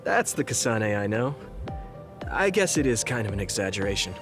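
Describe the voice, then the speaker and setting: An actor playing an earnest, gentle, idealistic young soldier in a video game, talking sincerely and a little hesitantly. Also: male voice, fairly low pitch, sing-song, normal speed, over music. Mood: thoughtful. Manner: sincere, conversational, slightly hesitant. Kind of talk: English video game voice acting.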